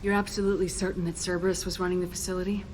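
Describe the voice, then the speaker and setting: A woman's voice: fairly low pitch, level tone, rapid-fire, a little hiss or hum, natural voice. A woman playing a firm, businesslike military commander in a video game, direct and probing.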